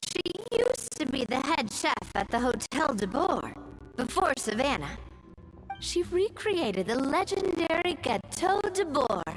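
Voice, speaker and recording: High-voiced thoughtful young woman. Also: fairly bright tone, exaggerated voice, low-quality recording. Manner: measured, explanatory. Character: young woman, thoughtful, helpful local explaining events. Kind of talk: game dialogue acting.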